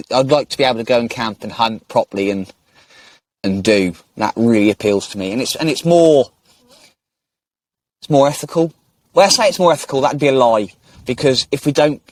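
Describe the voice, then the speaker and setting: A man talking easily with a podcast guest, circling back and restarting his sentences as he goes. His voice sits at a middle pitch, and the recording is dry and close, with no room sound. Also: no room sound. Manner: rambling, restarts often, friendly. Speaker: podcast host. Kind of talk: casual conversational interview.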